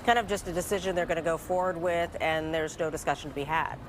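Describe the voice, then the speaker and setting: A woman's voice: deep voice, fairly even intonation, fast talker, noisy background. A woman anchoring a television newscast, asking a field reporter a question and wrapping up the segment conversationally. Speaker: news anchor.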